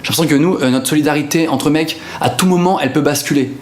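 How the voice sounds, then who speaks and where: A man's voice: on the high side, fast, echoey room, very noisy. A man from northern France, a comedian talking casually to camera in a self-mocking way and swearing freely. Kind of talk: comic sketch narration to camera.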